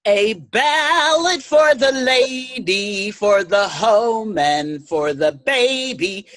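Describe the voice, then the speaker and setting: Slow, declaiming suffragist played by a woman. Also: fairly high pitch, expressive, fairly bright tone, full character voice. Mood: rallying. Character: rallying suffrage campaigner reciting campaign verse. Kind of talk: verse recitation in reader's theater.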